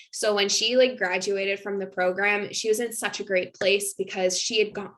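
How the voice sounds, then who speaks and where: A woman's voice: medium pitch, fairly bright tone. A young adult woman, a nutrition coach, giving a casual online masterclass in a chatty manner full of fillers and little laughs. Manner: chatty, filler-heavy, laughs between points.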